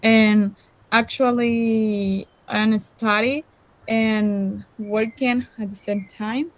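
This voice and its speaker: A woman in her twenties from El Salvador introduces herself in an English class. She speaks very slowly, pausing between words, with a somewhat high voice. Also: steady tone, no echo, poor audio. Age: 20s. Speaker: student.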